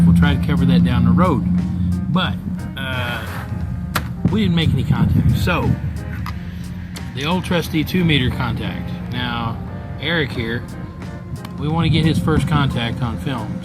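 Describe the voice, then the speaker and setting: Folksy man explaining things over loud music. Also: mid-range voice. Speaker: ham radio operator and mentor. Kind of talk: casual explanatory vlog talk.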